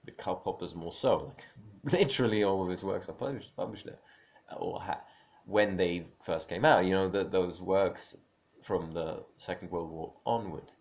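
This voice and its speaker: Very deep, gravelly-voiced man musing aloud. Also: darkish tone. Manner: halting, with frequent restarts and small laughs; musing, opinionated. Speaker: speaker on creative careers. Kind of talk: unscripted spoken monologue.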